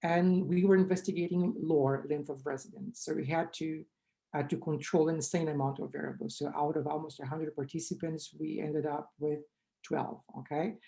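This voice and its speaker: A hoarse, gravelly middle-aged man speaking English with a Brazilian accent, his tone dark and mellow. He lectures at a conference through a webcam in a small room, restarting phrases and asking "right?" as he moves through his slides. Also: a little high, fairly even intonation, moderate pace, low-quality recording. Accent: Brazilian Portuguese. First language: Brazilian Portuguese. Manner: restarts and self-corrections, frequent "right?". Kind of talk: academic lecture over slides. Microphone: webcam on a video call.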